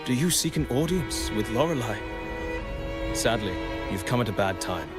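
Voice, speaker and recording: A man's voice: medium pitch, animated, normal speed, natural voice. A man playing a devout cult follower in a video game, preaching repentance in a solemn, pious manner. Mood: grave warning. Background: prominent music.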